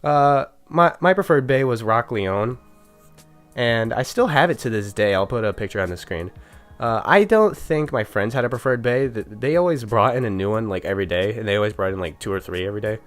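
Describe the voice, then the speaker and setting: A man's voice: lowish voice, expressive, a little fast, faint music behind. A young man with a nasal, stuffy voice from being sick, telling a joking, chatty story about his school days with small stumbles. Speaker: YouTuber. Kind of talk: casual storytime narration.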